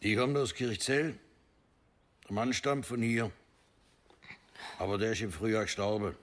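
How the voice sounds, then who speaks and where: An actor playing an elderly, plain-spoken widowed villager in a radio drama, talking tersely and gruffly. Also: male voice, on the low side, fairly even intonation, a little slow, lightly acted. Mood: matter-of-fact. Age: older. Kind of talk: naturalistic radio drama acting.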